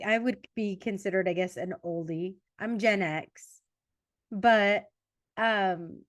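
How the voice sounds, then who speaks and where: A woman in her forties hosts a casual podcast chat, full of quick asides and little self-corrections. Her voice sits at a middle pitch, and the room is dry with no echo. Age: 40s. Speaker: podcast host.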